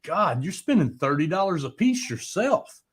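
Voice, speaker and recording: A man's voice: high voice, sing-song. A man with a Southern US accent chatting casually, blunt and sweary, feeling his way through questions. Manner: blunt, profane, halting questions. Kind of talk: casual chat.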